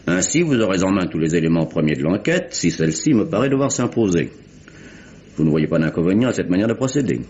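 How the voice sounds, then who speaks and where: Un acteur jouant un procureur général britannique des années 1870, pompeux et soupçonneux, à la diction formelle et précise, dans une dramatique radio policière française. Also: male voice, sits low, big pitch swings, fast, a little mellow, a little hiss or hum. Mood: formal, officious. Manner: formal, pompous, precise diction. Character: pompous, suspicious male British attorney general of the 1870s. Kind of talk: French radio mystery drama acting. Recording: dull, muffled vintage radio recording.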